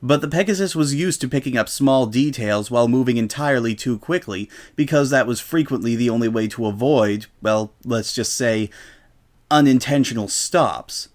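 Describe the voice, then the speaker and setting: A man's voice: medium pitch, moderate pace. Man narrating a comedic story. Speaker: narrator reading fan fiction aloud.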